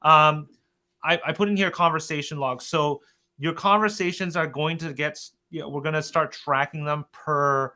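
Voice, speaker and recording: A man hosting an informal software update webinar, talking in an earnest, conversational and loosely rambling way. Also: fairly high pitch, fairly even intonation, normal speed. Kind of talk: informal product presentation.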